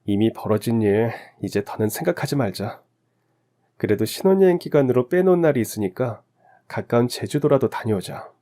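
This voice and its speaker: Man as a calm, caring young husband. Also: mid-range voice, fairly quick, lightly acted. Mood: reassuring. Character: caring, supportive newlywed husband.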